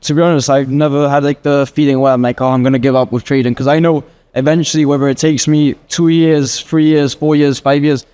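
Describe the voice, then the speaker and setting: A man's voice: on the high side, flat delivery, fairly quick, few pauses, a little mellow, clean tone. A man of twenty answering interview questions in a casual, earnest way, with lots of filler words. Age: 20s. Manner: earnest, rambling with frequent "like" fillers. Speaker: guest trader.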